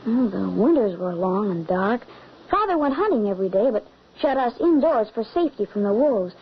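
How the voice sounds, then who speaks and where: A woman's voice: medium pitch, big pitch swings, moderate pace. A woman playing an earnest, haunted eleven-year-old boy in an old-time radio drama, on a muffled, hissy recording. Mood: somber, recounting.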